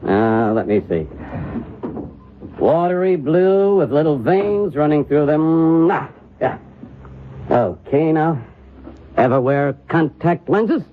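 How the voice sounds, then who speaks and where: A man's voice: on the high side, lively intonation, slow pace, pauses often, slight background noise. An actor playing a fussy, obliging male makeup artist who muses aloud, in an old-time radio drama. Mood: absorbed, brisk. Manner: musing, muttering repeats to himself. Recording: tinny band-limited old radio recording.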